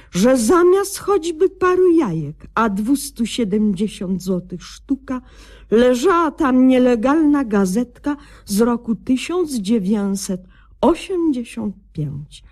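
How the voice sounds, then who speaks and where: A woman's voice: on the high side, mellow, slightly rough, exaggerated voice. A woman playing a pompous, naive young doctor in a satirical radio sketch, speaking with bureaucratic, mock-learned solemnity. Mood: solemn, indignant. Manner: bureaucratic, mock-learned, malapropism-laden delivery. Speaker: comic narrator and doctor.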